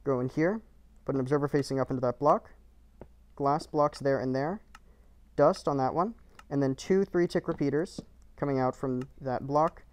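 A man talks a listener through a game build, one short instruction after another. His delivery is calm and matter-of-fact, at a middling pitch. It is recorded as a plain voice-over. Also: moderate pace. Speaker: game tutorial creator.

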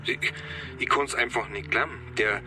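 An actor playing an earnest, defensive farm safety inspector with a Bavarian accent, explaining insistently in a radio drama. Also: male voice, medium pitch, moderate pace, over music, natural voice. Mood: shocked, earnest. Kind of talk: naturalistic radio drama dialogue.

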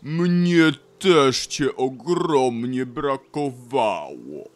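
A man playing an ancient, wise and weary grumbling beech tree in an audio drama, sighing and deliberate. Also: medium pitch, lively intonation. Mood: fond. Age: older. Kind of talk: audio drama character acting.

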